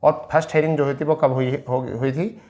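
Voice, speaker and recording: Higher-pitched Indian man explaining step by step. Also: steady tone, a little fast, darkish tone. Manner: repetitive, asks and answers own questions. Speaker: educational video presenter. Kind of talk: informal explainer reading out a document from screen.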